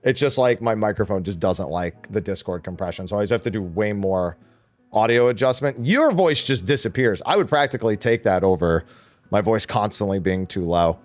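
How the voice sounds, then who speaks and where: A man's voice: fairly low pitch, animated, a little fast, faint music behind. A man hosting a casual gaming podcast stream, laughing often and swearing casually.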